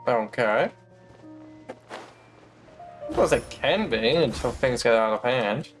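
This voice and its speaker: A man streams a game with casual, chatty commentary. His pitch is middling, and he talks back to the characters. Faint music plays in the background. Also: lively intonation, slow pace.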